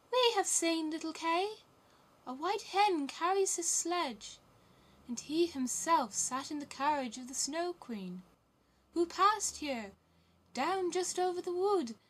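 A woman's voice: very high-pitched, animated, slow, voice pushed up, exaggerated voice. A woman voicing small plaintive wood pigeons in a storybook, with a cooing delivery. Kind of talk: storybook character voice.

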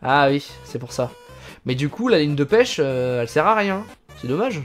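A man's voice: on the high side, sing-song, normal speed, soft background music. A young man in his twenties chatting casually while playing a game on stream, reading text aloud and answering chat. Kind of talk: casual live gameplay commentary. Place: indoors, gaming chair at home.

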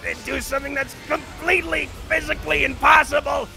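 A man's voice: very high voice, flat delivery, a little fast, creaky, pushed and bright, faint music behind, full character voice. A man voicing a brash, foul-mouthed, exasperated cyborg ninja in a theatrical, improvised game fan dub. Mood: manic, defiant.

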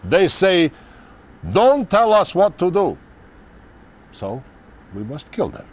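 A weary, sardonic old Soviet general from a video game cutscene. He speaks with a Russian accent at a slow, deliberate pace. His theatrical delivery rises and falls widely, with pauses between short phrases. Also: high voice, big pitch swings, a little hiss or hum, full character voice. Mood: bitter, menacing. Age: older. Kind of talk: dramatic game cutscene acting.

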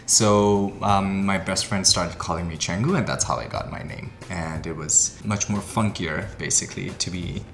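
A man's voice: deep voice, moderate pace, gravelly, hardly any pauses, a little hiss or hum. A man in his thirties with a Pakistani accent, speaking hesitantly in short phrases in a personal interview. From Quetta, Pakistan. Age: 30s. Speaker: dancer, documentary subject. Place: living room, indoors.

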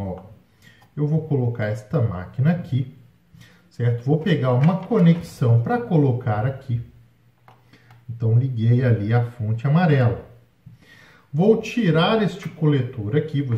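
Brazilian man, slightly high voice, chatty board game explainer, roomy lo-fi sound. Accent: Brazilian Portuguese. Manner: explanatory, frequent fillers and tag questions. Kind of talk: solo gameplay walkthrough. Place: indoors at a table. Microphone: overhead camera, hands only.

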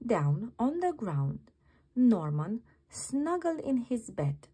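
A woman reading a children's bedtime story aloud in an expressive, dramatic manner. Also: fairly high pitch, takes it slowly, husky. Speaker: children's book reader.

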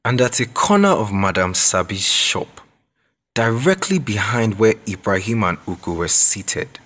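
A man's voice: lowish voice, expressive, unhurried, fairly bright tone. A man giving dramatic scripted narration as the storyteller of an audio drama. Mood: measured, dramatic. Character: unhurried dramatic male storyteller.

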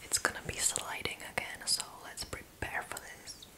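A young woman in her twenties talks entirely in a soft, breathy whisper, close to the microphone. Her delivery is playful and chatty, as if joking with a friend during a relaxing ASMR session in a small bedroom. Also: normal speed. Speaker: ASMR creator. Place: small bedroom lit with fairy lights.

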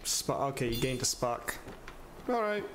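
A man's voice: medium pitch, big pitch swings, measured pace, noisy background. A young man giving casual, slangy gameplay commentary, muttering reactions and exclaiming now and then. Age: young adult. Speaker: gaming video host. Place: small indoor room at home.

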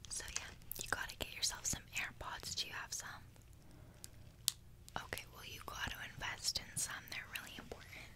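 A young woman voicing a smug, materialistic hype beast in an ASMR roleplay, whispering softly in a casual, bragging drawl close to the microphone. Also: normal speed, lightly acted. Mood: casual, instructive. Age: young adult. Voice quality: soft breathy whisper. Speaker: ASMR creator. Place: small bedroom, indoors. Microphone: close desk microphone.

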